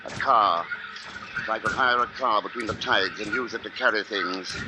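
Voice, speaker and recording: An actor playing a grave, watchful husband under siege, in measured, brooding 1950s radio drama style. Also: male voice, fairly low pitch, background noise, lightly acted. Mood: weary, brooding. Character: besieged husband, grave, watchful, haunted by birds. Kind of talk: 1950s radio drama acting, first-person narration.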